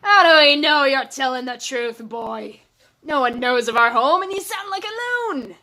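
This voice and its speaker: Woman putting on a loud, ill-tempered old dwarf. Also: sits high, animated, moderate pace, voice pushed up, exaggerated voice. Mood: angry, suspicious. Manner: loud, accusing. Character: ill-tempered booming old male dwarf, suspicious villager.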